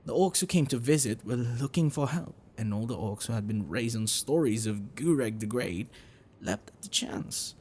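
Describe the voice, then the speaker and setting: A man playing a gentle, bookish dragon-blooded librarian boyfriend in an ASMR roleplay, speaking in a hesitant, caring way. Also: mid-range voice, expressive, moderate pace, a little hiss or hum, close to an everyday voice. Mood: earnest storytelling. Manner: hesitant, soft, caring, explanatory.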